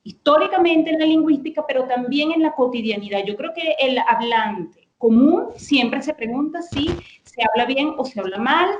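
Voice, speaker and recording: A woman's voice: sits high, normal speed, hardly any pauses, reverberant, dull audio, slight background noise. A woman speaking as a guest lexicographer, explaining her ideas thoughtfully, with frequent hesitations and restarts. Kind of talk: explanatory conversation.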